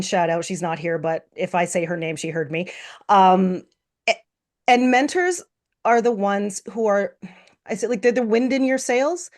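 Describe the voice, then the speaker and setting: A woman panelist on a video call with a middle-pitched voice. She speaks with warmth and enthusiasm and leans on vivid imagery. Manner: enthusiastic, heartfelt, uses imagery. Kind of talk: panel discussion.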